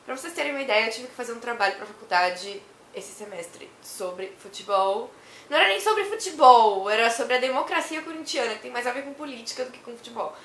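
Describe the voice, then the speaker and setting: A young woman from Brazil who is hoarse from a cough, chatting to camera in a rambling way and laughing often. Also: on the high side, expressive, brisk, fairly bright tone, echoey room, a little hiss or hum. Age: young adult. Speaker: vlogger. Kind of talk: casual chat to camera.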